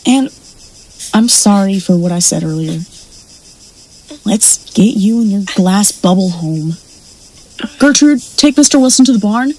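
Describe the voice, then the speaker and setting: Woman playing a mid-pitched, protective rancher. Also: expressive, unhurried, pauses often, warm tone, a little hiss or hum, rough recording. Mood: reassuring, commanding. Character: protective, caring rancher who takes charge. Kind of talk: live-streamed audio drama acting.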